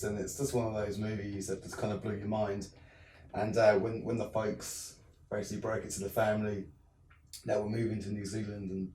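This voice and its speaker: A man's voice: fairly low pitch, roomy sound, recorded from a distance, a little hiss or hum, low-quality recording. A young English man from the south of England with a southern English accent, chatting casually in an interview with lots of ums and restarts. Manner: relaxed, many ums and restarts. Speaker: interview guest, filmmaker.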